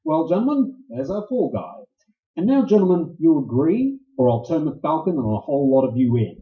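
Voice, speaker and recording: Casting: male actor as hard-boiled, wisecracking detective, clipped and sardonic, highly animated mid-range delivery, roomy stage sound. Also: moderate pace. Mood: hard, insistent. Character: tough, cocky, wisecracking male private detective. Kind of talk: staged radio play acting, 1940s noir. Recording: roomy, dull live stage recording.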